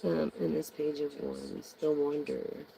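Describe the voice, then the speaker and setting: Hoarse, gravelly slow-talking tarot reader. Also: mid-range voice, level tone, mellow, a little hiss or hum, low-quality recording. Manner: slangy, meandering, many ums. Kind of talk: casual rambling tarot reading.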